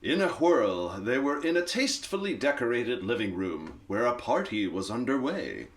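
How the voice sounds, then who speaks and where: A woman narrating a Christmas radio play as a storyteller, reading scripted prose in a measured way. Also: rumbling low, very animated, full character voice. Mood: measured, storytelling.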